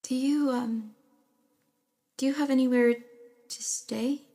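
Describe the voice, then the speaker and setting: A woman playing a caring, awkward young friend in an intimate audio drama, with a soft, earnest, stammering manner. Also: sits high, steady tone, slow, full character voice. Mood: concerned, tender.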